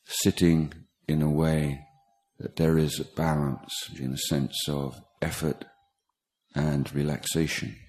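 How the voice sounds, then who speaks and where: Very deep, slow-speaking male meditation teacher. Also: flat delivery, a little mellow. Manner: halting, measured, with pauses between short phrases. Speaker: meditation teacher, Buddhist monk. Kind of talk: guided meditation instruction. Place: meditation retreat talk in Thailand.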